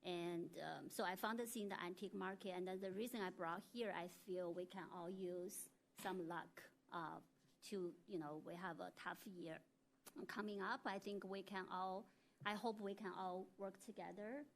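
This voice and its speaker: A woman's voice: medium pitch, steady tone, moderate pace, a little hiss or hum, low-quality recording. A woman speaking at a school board meeting in a casual, friendly, somewhat hesitant way. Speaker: board member.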